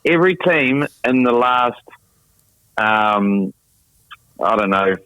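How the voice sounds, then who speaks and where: A man's voice: mid-range voice, expressive, slow pace, sharp tone, no room sound, rough recording. An Australian man chatting casually about rugby league on a podcast, teasing and provocative. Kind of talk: casual sports chat.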